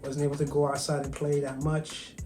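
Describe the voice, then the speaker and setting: Barbadian man, flat-toned guest chef on a video call, roomy room with clear music behind. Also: a little high, a little fast. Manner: soft-spoken, hesitant, many ums and restarts. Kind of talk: virtual interview, casual storytelling.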